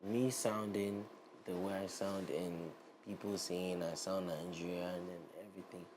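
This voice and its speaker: A young Ghanaian man, an Afrobeats artist, speaking as a guest in a casual interview. He sounds relaxed, grows animated and repeats himself as he goes. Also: sits low, unhurried, noisy background.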